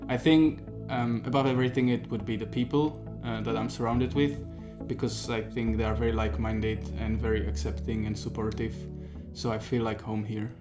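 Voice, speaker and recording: A man's voice: mid-range voice, level tone, a little fast, few pauses, over music. Earnest man from the Czech Republic. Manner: thoughtful, earnest, with fillers. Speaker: game developer. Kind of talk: interview answers.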